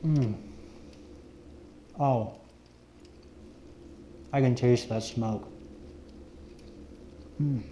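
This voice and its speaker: A man's voice: mid-range voice, drawn-out words, pauses often, warm tone. A man talking casually and unhurriedly, as if narrating his own camping and cooking vlog. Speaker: found-footage vlogger, narrator. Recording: roomy echo, faint background noise.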